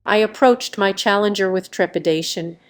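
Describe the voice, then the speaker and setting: A woman's voice: medium pitch, normal speed. A woman reading online stories aloud in a scripted narration style. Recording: background music under the voice. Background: music.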